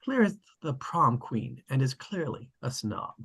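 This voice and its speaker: A man with a slightly husky voice, casually hosting an online table read and narrating the stage directions. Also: mid-range voice, big pitch swings, measured pace, fairly bright tone. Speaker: host and stage-direction reader. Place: online video call.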